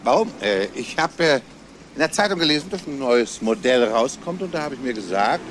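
Nervous, evasive man, lively intonation. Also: mid-range voice, normal speed. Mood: evasive, defensive. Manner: hesitant, stammering. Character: evasive, nervous schoolteacher under suspicion of murder. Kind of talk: German crime radio drama acting. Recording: noisy outdoor ambience behind dialogue.

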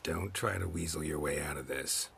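An actor playing a gruff, stoic monster hunter in a video game, with a dry, terse, deadpan manner. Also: male voice, extremely low pitch, normal speed, slight background noise, heavily acted. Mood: dry, teasing.

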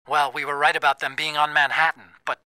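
An actor playing an earnest, brainy tech partner in a video game, a little hesitant. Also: male voice, on the high side, flat delivery, fast talker, pushed and bright, smooth, no room sound. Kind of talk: video game cutscene acting.

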